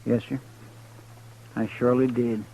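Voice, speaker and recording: A man's voice: mid-range voice, flat delivery, measured pace, lightly acted. A man playing an earnest, deferential Old West deputy in a radio western, with a rural Western American drawl and a hesitant, folksy manner. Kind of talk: old-time radio western drama acting. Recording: dull vintage radio recording.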